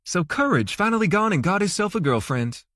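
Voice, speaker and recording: Man voicing a high-pitched sassy, folksy woman. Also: big pitch swings, brisk, a bit bright, no room sound, clean studio sound. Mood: teasing, amused. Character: Zoe, folksy sassy Southern-talking woman, teasing.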